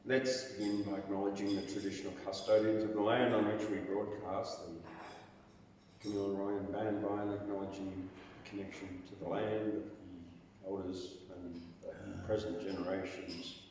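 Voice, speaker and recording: A man with a croaky voice, hosting an open mic and reciting his own poetry in a dramatic, chanting way with sudden loud rises. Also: deep voice, flat delivery, normal speed, a little hiss or hum. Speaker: open mic host and poet. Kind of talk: performance poetry reading. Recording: echoey hall, mic far off.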